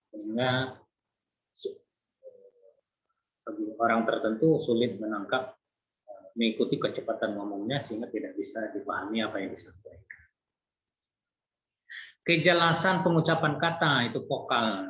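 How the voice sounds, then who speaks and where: A man explains points of a lecture in an easygoing, conversational manner, pausing and restarting his sentences often. His voice sits at a middle pitch. The recording sounds roomy and somewhat muffled. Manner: relaxed, conversational explaining with many fillers and restarts. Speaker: nursing lecturer. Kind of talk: online lecture.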